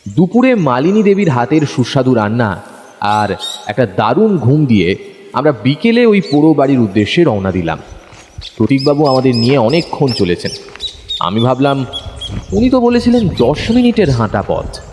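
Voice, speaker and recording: A man's voice: mid-range voice, big pitch swings, moderate pace, a little mellow, close to an everyday voice. A man playing a detective's observant, curious companion, telling the story in the first person as a dramatised audio narration. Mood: storytelling. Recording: long hall reverb, faint background noise.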